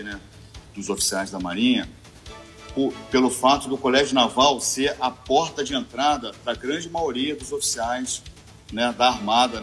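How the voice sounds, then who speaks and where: A man speaks as a guest on a talk show. He answers in a measured, formal way, often filling pauses with "né", at a mid-range pitch. Faint music plays behind him in the studio. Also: fairly bright tone. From Niterói, Brazil. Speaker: naval college commander, guest. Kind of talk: interview answers.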